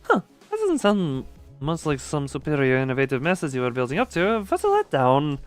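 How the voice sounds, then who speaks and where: Smooth, clear-voiced man on a game stream. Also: a little high, wildly swooping pitch, fairly quick, soft background music.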